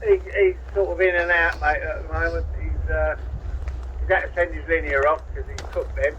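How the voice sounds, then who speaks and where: A man's voice: fairly high pitch, steady tone, normal speed. A British man talking casually over CB radio, explaining technical points in an informal, matey way. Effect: CB radio transmission. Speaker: CB radio operator. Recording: dull CB radio audio, faint noise.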